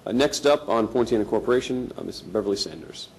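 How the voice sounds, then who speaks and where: Male chairman, low register, formal meeting moderation, faint room noise. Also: lively intonation, fast, creaky.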